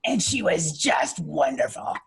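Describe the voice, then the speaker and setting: Husky woman chatting on a panel. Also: deep voice, big pitch swings, unhurried. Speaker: volunteer audio drama voice actor. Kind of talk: casual panel chat.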